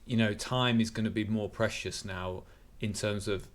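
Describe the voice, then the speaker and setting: A man's voice: fairly low pitch, steady tone, normal speed, slight background noise. A man in his late thirties, co-hosting a casual podcast from his garage workshop, talking in short clipped phrases. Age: 30s. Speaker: podcast co-host. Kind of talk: casual chat.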